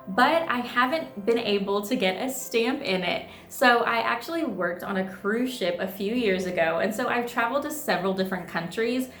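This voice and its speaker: A woman's voice: medium pitch, gravelly, fairly bright tone. A thirty-year-old woman vlogging in a chatty, upbeat, bubbly way. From United States. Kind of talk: casual vlog chat. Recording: echoey room with faint music.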